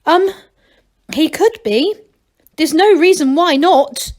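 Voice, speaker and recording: A woman's voice: high-pitched, lively intonation, takes it slowly, darkish tone, voice pushed up. A woman voicing a nervous, earnest male gnome in a storybook, hesitant and floundering.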